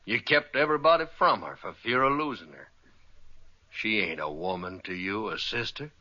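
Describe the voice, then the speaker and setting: An actor playing a big, protective, plainspoken ranch boss with a western American accent, determined and earnest, in a radio western. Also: male voice, fairly low pitch, lively intonation, moderate pace, sharp tone, exaggerated voice. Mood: firm, angry. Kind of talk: 1950s radio western acting. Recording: dull old radio recording.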